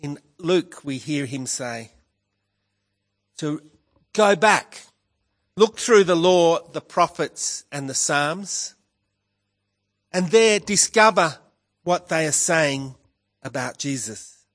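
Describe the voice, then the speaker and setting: A pastor delivering a sermon in a croaky voice, measured and emphatic, rising on key words. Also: male voice, fairly high pitch, expressive, fairly bright tone. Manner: slow, measured preaching with emphatic rises. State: hoarse, croaky voice with a cough.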